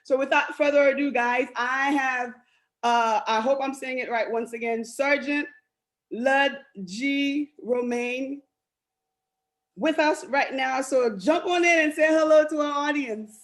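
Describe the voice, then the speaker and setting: Bubbly woman podcast co-host, fairly high voice. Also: measured pace. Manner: bubbly, apologetic, laughs a lot. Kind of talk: casual podcast hosting.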